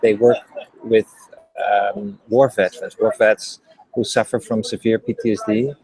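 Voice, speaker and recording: A middle-aged man with a Dutch accent, speaking in a low voice that barely moves in pitch. He talks in a casual, halting way, with frequent ums and restarts as he tells his story to an interviewer. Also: level tone, a little mellow, slightly rough, dry recording, low-quality recording. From Netherlands. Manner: hesitant, many ums and restarts. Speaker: guest, HeartMath trainer.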